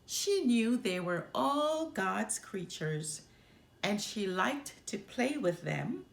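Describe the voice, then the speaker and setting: A woman reads a children's storybook aloud in a highly animated, expressive way. Her delivery is slow and deliberate, with some creak in the voice. The room adds a noticeable echo. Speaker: storytime host reading aloud. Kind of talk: children's picture book read-aloud.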